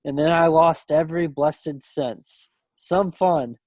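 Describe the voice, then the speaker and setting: A breezy young serviceman of the Second World War acted by a man in a play reading. His voice is on the high side, rueful and a bit boastful, heard very dry over a video call. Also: takes it slowly, a little mellow, dry recording. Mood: wry, rueful. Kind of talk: dramatic table read of a stage play. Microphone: computer mic on a video call.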